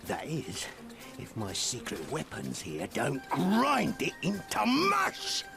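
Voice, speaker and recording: An actor playing a gleefully sadistic, boastful enemy commander in a video game, with a mocking, theatrical drawl. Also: male voice, on the high side, huge pitch swings, slow pace, gravelly, heavily acted. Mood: gleeful menace. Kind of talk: video game villain acting. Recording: loud music behind the voice.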